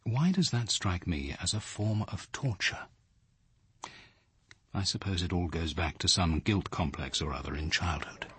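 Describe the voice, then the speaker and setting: An actor playing a reserved, dryly witty detective-poet, with an English accent, in a measured, understated radio drama style. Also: male voice, sits low, expressive, a bit bright, husky, full character voice. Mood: reflective. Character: reserved, dryly witty male detective who writes poetry. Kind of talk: British radio drama acting and reflective narration.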